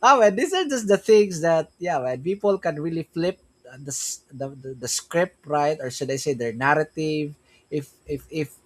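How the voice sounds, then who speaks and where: Filipino man rambling on a stream. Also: on the high side. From Philippines. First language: Tagalog. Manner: rambling, repeats "right?" and "yeah, man", frequent restarts. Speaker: crypto stream host. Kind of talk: casual livestream talk.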